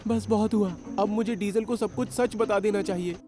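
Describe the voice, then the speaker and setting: An actor voicing a kind, conscience-stricken young male train, gentle and polite, in a children's cartoon dub. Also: mid-range voice, steady tone, normal speed, close to an everyday voice. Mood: guilty, hesitant.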